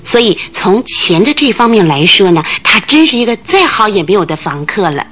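A woman's voice: mid-range voice, sing-song, moderate pace, a bit bright, soft background music. An actress in a Chinese radio drama playing a thin, fidgety, gossipy landlady of about forty, agitated and full of exclamations. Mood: explaining, chatty.

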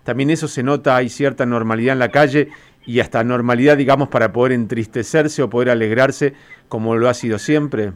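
A male podcast host, introducing and questioning a guest with a measured, formal delivery. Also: mid-range voice, fairly even intonation. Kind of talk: radio interview.

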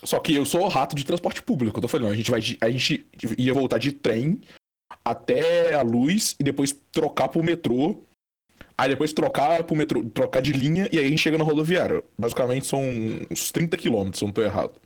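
A man's voice: medium pitch, brisk, husky. A young man from Brazil telling a long, rambling anecdote in casual chat, full of fillers and restarts. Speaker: storyteller guest.

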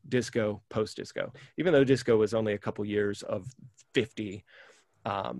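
Guy hosting a music podcast, talks fast with lots of ums, dry mic. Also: on the low side, vocal fry, no room sound. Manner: enthusiastic, rambling with many fillers. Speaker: podcast host. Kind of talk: casual music chat.

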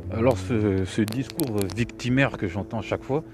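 Middle-aged guy with a cold, lowish voice, rambling scornful rant, music playing behind. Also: normal speed. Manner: rambling, repeats words, scornful. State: has a cold. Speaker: video commentator. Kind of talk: opinionated reaction commentary.

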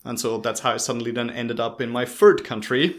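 A man's voice: mid-range voice, brisk. Austrian man with an irish-tinged r, chatting. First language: German. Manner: informal, rambling, dryly humorous. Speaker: interview guest. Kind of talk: casual chat, telling life story.

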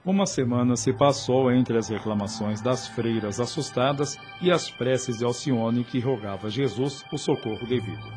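A man's voice: medium pitch, normal speed, few pauses. A man with a Brazilian accent reading scripted radio drama narration in a solemn, literary manner. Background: music.

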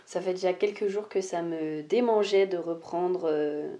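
Mellow-voiced woman hosting a podcast. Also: on the low side, measured pace. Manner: hesitant, many "euh" fillers and restarts. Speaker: podcast host, life mentor. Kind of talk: unscripted solo podcast. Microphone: podcast microphone.

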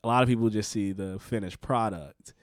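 A man's voice: lowish voice, brisk, no echo, clean studio sound. A man in his late twenties talking casually as a podcast co-host, pressing his points with earnest, repeated emphasis. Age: 20s. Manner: earnest, repetitive emphasis, coaching tone. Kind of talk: casual conversation.

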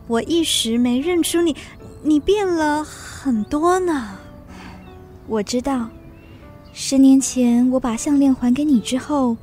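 An earnest society lady in a literary radio drama. Her high voice is theatrical and expressive, over faint music. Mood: surprised, polite.

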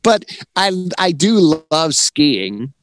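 A man's voice: on the high side, very animated, drawn-out words, fairly bright tone, slight background noise. A middle-aged man co-hosting a podcast in a casual, conversational style about writing. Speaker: podcast co-host. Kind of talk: casual chat about writing, with some reading aloud.

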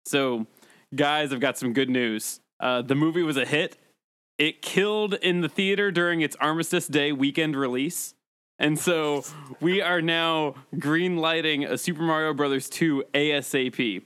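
A man's voice: a little high, fairly even intonation, measured pace, a bit bright, smooth, no room sound. A man hosting and moderating a comedy podcast in a casual game-show style.

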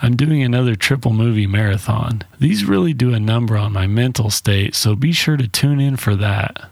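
A man's voice: medium pitch, animated, moderate pace, gravelly, darkish tone, no room sound. A man hosting a solo podcast, giving a casual movie review in a blunt, informal way with some swearing. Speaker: podcast host.

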